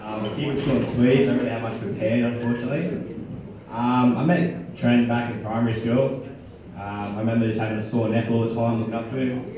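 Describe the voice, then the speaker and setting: A man's voice: mid-range voice, fairly even intonation, fairly quick, distant mic, crowd noise. Casual young man, echoey crowded hall. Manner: casual, rambling with fillers. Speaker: friend giving a wedding speech. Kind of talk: casual wedding speech. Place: wedding reception hall.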